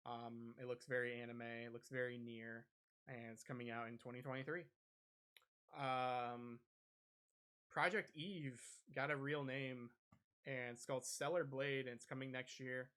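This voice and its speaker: A man's voice: medium pitch, fairly even intonation, unhurried, bright, forceful tone, smooth. A man hosting a podcast, talking casually and a little hesitantly through gaming news. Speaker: podcast host.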